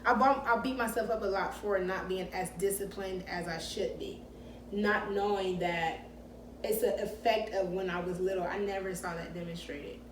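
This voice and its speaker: Reflective woman co-host, chatty fillers; distant mic, clear room noise. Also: mid-range voice, steady tone, normal speed, reverberant. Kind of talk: candid casual chat.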